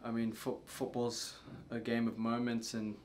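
A young man, a soccer player, answering questions at a post-match press conference in a subdued, halting way with many fillers. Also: medium pitch, completely flat delivery, a little mellow, slight background noise. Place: press room before a sponsor backdrop.

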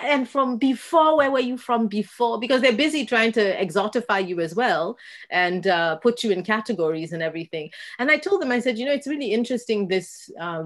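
Woman talking thoughtfully on a video call. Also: medium pitch. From Kenya. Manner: reflective, animated storyteller who acts out quoted exchanges. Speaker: dancer and guest. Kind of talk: casual conversation on a video call. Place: home room with bookshelves. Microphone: video call, laptop mic.

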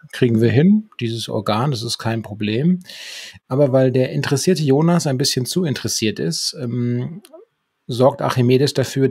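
A man with a husky, gravelly voice casually retelling a story as a podcast co-host at a close microphone. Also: medium pitch, no room sound. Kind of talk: conversational recap of a radio play. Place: small room at home.

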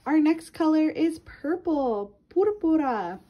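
A woman's voice: high-pitched, expressive, takes it slowly, lo-fi sound. A woman reading a picture book aloud to children in a sing-song manner, addressing her young listeners directly.

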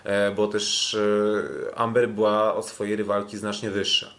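A man hosting a podcast, giving a casual sports recap in a halting way with frequent filled pauses. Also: on the low side, level tone, fairly bright tone. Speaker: podcast host.

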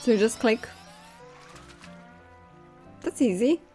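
A young woman giving casual gameplay commentary, expressive and musing to herself. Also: fairly high pitch, takes it slowly. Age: young adult. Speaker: video game streamer. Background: soft game music.

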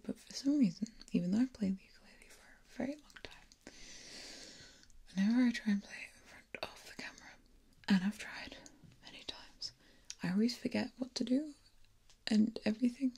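A young woman in her twenties whispering intimately and softly singing lullabies as an ASMR creator, close on a binaural mic in a small dark room. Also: medium pitch, brisk. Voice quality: breathy.

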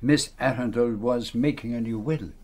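An actor playing a courteous, shrewd Belgian detective in a British radio play, questioning in a formal, probing way. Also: male voice, mid-range voice, moderate pace, a little mellow, close to an everyday voice.

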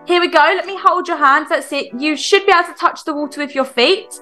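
Swim coach played by a woman: very high bright voice, firm but encouraging instructor, game roleplay. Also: a little fast, soft background music. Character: firm, encouraging female children's swimming coach. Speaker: video creator playing a swim coach.

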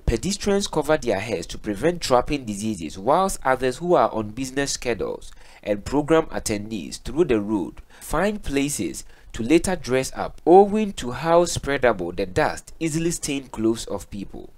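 A man's voice: medium pitch, very animated, normal speed, darkish tone. A man with a Ghanaian accent reading a scripted news report as narrator.

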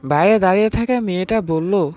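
A man's voice: high-pitched, a little fast, a little mellow. A man narrating a dramatized first-person love story and voicing its affectionate young husband. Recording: music under the voice.

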